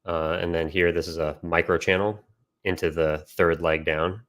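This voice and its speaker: A man with an extremely low-pitched voice speaks as an educator in an informal online session. He explains step by step in a halting way, often stopping mid-phrase to find his next words. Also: level tone, a bit bright. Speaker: trading educator and system developer. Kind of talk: informal instructional chart commentary with audience questions.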